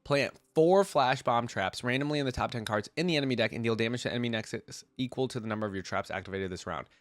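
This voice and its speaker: A man's voice: medium pitch, fast, no room sound. A man congested from a cold, giving casual, informal reaction commentary as a video host, thinking aloud as he goes. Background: loud music from the videos watched.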